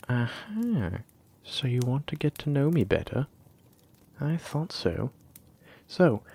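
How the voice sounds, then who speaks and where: A man's voice: mid-range voice, darkish tone, heavily acted. A man playing a flirty, teasing dragon-blooded librarian in a roleplay audio drama, coy and persistent, lingering on his phrases. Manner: coy, teasing, drawn-out phrases with breathy asides.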